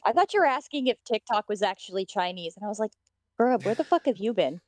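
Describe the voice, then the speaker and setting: A woman's voice: mid-range voice, animated, quick pace. A woman in casual, joking group chat on a podcast, giggly and free with swearing. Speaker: podcast co-host.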